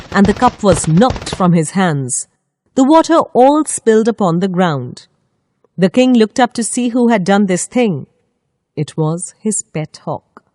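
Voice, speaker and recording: Mellow, lowish-voiced woman telling a children's story. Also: dry recording, studio quality. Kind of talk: scripted children's story narration.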